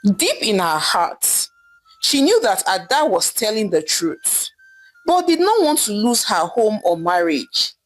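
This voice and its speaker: A woman narrating a scripted folktale in a dramatic, expressive storytelling manner. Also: mid-range voice, a little slow, poor audio. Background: background music.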